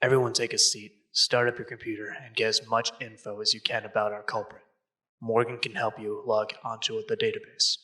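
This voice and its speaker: A man playing a smug, wealthy leader of secret investigators in an audio drama, with a raspy voice. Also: on the low side, level tone, fairly quick, bright, forceful tone, no echo, rough recording. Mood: businesslike.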